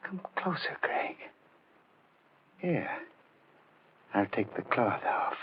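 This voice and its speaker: An actor playing a controlled, bitter jilted man hiding murderous hatred, quiet and ominous, in 1940s radio horror style. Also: medium pitch, very animated, slow, a little hiss or hum. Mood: bitter menace. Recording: muffled old 1940s radio broadcast.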